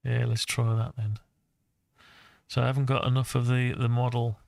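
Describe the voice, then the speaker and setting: Gravelly man, flat and unhurried. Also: medium pitch, dry recording, clean studio sound. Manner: muttering to himself while working, thinking aloud. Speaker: hobbyist tutorial maker. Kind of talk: unscripted screen-recorded software walkthrough.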